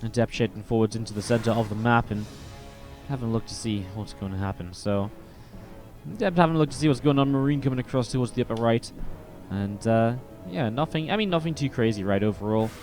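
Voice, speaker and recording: A man casting a live strategy game match on his own, in a mid-range voice. He sounds a little sniffly from a cold and keeps up a steady stream of play by play. Faint game music runs under him. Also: mid-range voice, quick pace. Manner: steady, builds excitement in fights. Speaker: esports caster. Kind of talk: solo play-by-play commentary.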